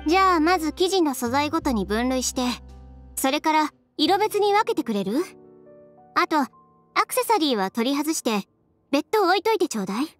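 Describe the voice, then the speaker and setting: A woman's voice: sits high, big pitch swings, moderate pace, faint music behind. A woman playing a confident, businesslike young fashion designer with a direct manner in a Japanese video game. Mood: exasperated, instructing.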